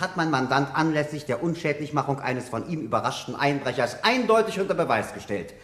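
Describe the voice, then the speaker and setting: Schauspieler als beredter Verteidiger, lebhaft, hallig. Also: male voice, fairly high pitch, natural voice. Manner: oratorical, emphatic. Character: eloquent, indignant male defence lawyer. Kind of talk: German radio courtroom drama acting. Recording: reverberant courtroom-like room sound.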